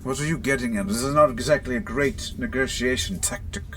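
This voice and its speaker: A man's voice: mid-range voice, fairly quick, a bit bright, a little hiss or hum, close to an everyday voice. A man playing a haughty, suspicious king who questions shrewdly in a fantasy audio roleplay. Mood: wary, haughty. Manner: clipped, suspicious, regal questioning.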